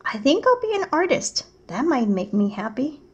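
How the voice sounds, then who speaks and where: Lady doing an unhappy grumbly storybook guy, kinda high voice, plain talking. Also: very animated, normal speed, highs cut off. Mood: hopeful. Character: discontented grown man, van Gogh, in storybook cartoons.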